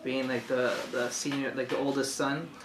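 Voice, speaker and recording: A young man from Chile, speaking as an interview guest in a soft, hesitant way, with many fillers, in a room lined with bookshelves. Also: mid-range voice, flat delivery, moderate pace. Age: young adult. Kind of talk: conversational testimony. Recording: echoey room, faint hiss.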